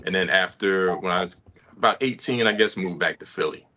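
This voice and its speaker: A man in his sixties with a bright, forceful voice and a fairly low pitch talks loosely and unhurriedly. He keeps checking in with his listener as he goes. He speaks over a home video call. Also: a little fast, slight background noise. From Connecticut. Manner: laid-back storytelling, often asks "you know what I mean". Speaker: guest hip hop artist. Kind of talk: casual interview chat.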